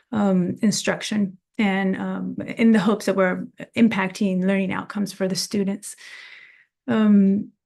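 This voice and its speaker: A woman's voice: mid-range voice, gravelly, a little mellow. A woman from Venezuela, an associate professor, introducing herself at a video meeting with frequent hesitant filler sounds. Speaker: associate professor of early childhood education. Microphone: video call.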